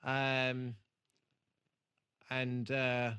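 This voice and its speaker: A man's voice: mid-range voice, monotone, very slow, bright, forceful tone, smooth. A man co-hosting a podcast in a casual, rambling, enthusiastic and sweary way. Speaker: podcast co-host. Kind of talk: casual chat, reviewing a TV show.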